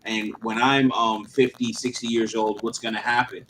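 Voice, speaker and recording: A man's voice: fairly low pitch, level tone, moderate pace, fairly bright tone, slight background noise, poor audio. A man in his thirties hosting a casual livestream chat, talking in a rambling way with lots of fillers and slang. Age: 30s. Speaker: channel host.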